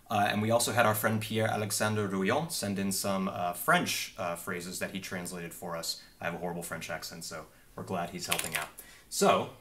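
A man's voice: lowish voice, a bit bright, slight background noise. A young man in his twenties, a game developer, casually walking viewers through a demo at his desk with an easygoing, explanatory manner and plenty of filler words. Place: small home office with a desk and monitor.

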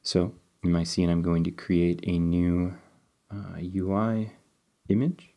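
A man's voice: low-pitched, slow pace. A man talking through a game-development tutorial step by step while working on screen, still a bit sniffly from a cold. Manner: relaxed, step-by-step, thinking aloud with fillers. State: sniffly, getting over a cold. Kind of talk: screen-recorded coding tutorial.

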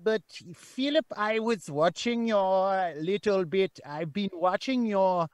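A man voicing an eccentric, rambling female author in a live comedy stream, with a muddled German-American hybrid accent and a halting, repetitive delivery. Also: sits high, no room sound. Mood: rambling, chatty. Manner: halting, rambling, repeats himself.

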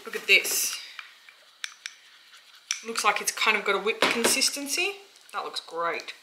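A woman's voice: medium pitch, animated, measured pace. An Australian woman, around her thirties, talking informally and thinking aloud as she demonstrates a craft in a casual how-to vlog. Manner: informal, chatty, thinking aloud while working. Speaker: vlogger and small soap-business owner. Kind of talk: casual how-to vlog, explaining while working. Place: small indoor home workshop. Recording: clear background noise, dish and pot clatter.

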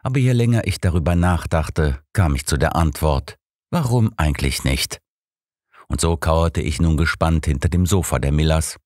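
A man's voice: rumbling low, moderate pace, darkish tone, dry recording, clean studio sound. A man reading a scripted story aloud as an audiobook narrator, in the first person.

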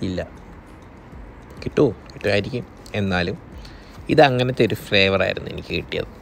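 A man's voice: sits low, a little fast, vocal fry, fairly bright tone, slight background noise. A man from Kerala with a Malayali accent, talking casually as a vlogger narrating a day out. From Kerala, India.

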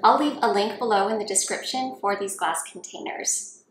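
A woman in her forties calmly walking through cooking steps in a home kitchen, talking to a camera set a few steps back. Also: medium pitch, normal speed, echoey room, soft background music, poor audio. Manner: calm, friendly step-by-step instructions. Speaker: cooking vlogger. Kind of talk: cooking tutorial voice-over and talk to camera.

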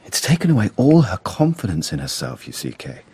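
An actor in a radio play as a gentle, wistful English brother who speaks in a mild, hesitant stammer. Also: male voice, on the low side, huge pitch swings, a little fast, breathy edge, full character voice. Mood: sympathetic. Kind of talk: radio drama acting.

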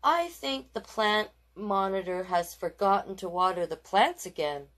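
A woman voicing a kindly but firm female primary teacher in a storybook, measured, instructive and reassuring. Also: medium pitch, expressive, a bit bright. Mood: gently reproving.

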